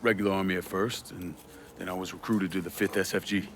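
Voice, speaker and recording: An actor playing a loyal, tough young war veteran in a video game, plain-spoken, respectful and earnest. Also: male voice, low voice, steady tone, fast talker, a bit bright, slight background noise. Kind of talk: cinematic video game dialogue. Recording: music behind the voice.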